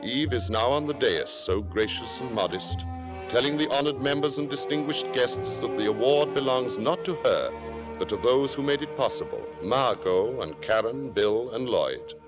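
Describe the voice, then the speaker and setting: An actor playing a suave, domineering, coolly cruel theater columnist in an old radio drama, sardonic and articulate. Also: male voice, mid-range voice, lively intonation, moderate pace, natural voice. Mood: wry narration. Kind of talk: 1940s-50s radio drama acting and narration. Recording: vintage radio recording, music behind.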